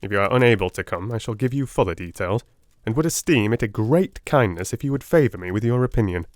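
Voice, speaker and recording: A man narrating an audiobook as dramatic literary reading, in a theatrical, deliberate manner. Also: fairly low pitch, animated, no room sound, clean studio sound.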